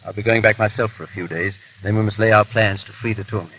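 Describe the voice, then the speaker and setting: Low-voiced English gentleman hero played by an actor. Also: fairly even intonation, fast, lightly acted, low-quality recording. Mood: brisk, wry. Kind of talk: 1930s radio acting. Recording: hissy 1930s radio broadcast.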